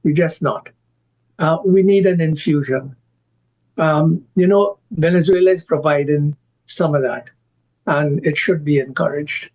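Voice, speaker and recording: An older man with a Trinidadian accent, a guest analyst who speaks haltingly with many restarts and strong emphasis. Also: fairly high pitch, darkish tone, rough recording. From Trinidad and Tobago. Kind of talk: current affairs discussion.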